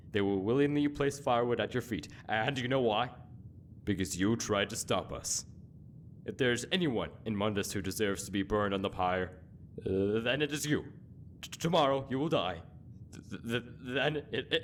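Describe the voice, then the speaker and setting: A deep, grave inquisitor acted by a man preaches with zealous self-righteousness. The delivery is solemn and rhetorical, like a fantasy game villain's monologue, over faint background noise. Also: close to an everyday voice. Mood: grandiose, threatening, then shaken, distraught. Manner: solemn, deliberate, rhetorical speechmaking.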